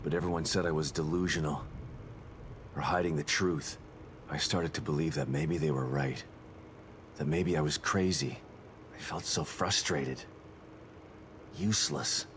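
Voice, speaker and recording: A gruff male detective in a horror game, speaking low and gravelly in terse, guarded lines. His voice sits in a very deep register, and faint background noise runs behind it. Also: steady tone, unhurried, long pauses, fairly bright tone. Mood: bitter, regretful. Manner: terse and guarded, bitter self-reproach. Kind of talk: video game cutscene acting.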